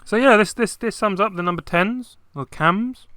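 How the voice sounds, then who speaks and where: A man's voice: high voice, moderate pace, bright, forceful tone, no echo, studio quality. A young man co-hosting a casual football chat, talking loosely with many fillers. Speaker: podcast co-host.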